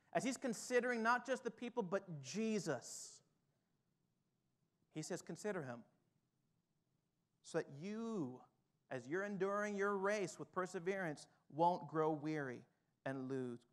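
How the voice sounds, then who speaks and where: A male pastor in his thirties preaches from a church stage through a headset mic, with a high, light voice. He moves from hushed, reflective passages up to urgent, rising exhortation. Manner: builds from quiet to fired-up exhortation. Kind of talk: sermon. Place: church stage with drum kit, indoors.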